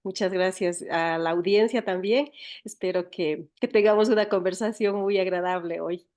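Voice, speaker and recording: A woman's voice: lowish voice, steady tone, normal speed, darkish tone. A woman with an Ecuadorian accent telling stories as an interview guest, often searching for her words and repeating them. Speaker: interview guest, writer and teacher. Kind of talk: conversational interview storytelling.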